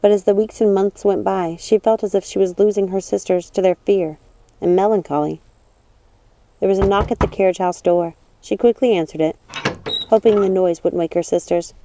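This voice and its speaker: A woman reading scripted storybook narration in a measured, expressive manner. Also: medium pitch, slight background noise, low-quality recording. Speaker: audiobook narrator.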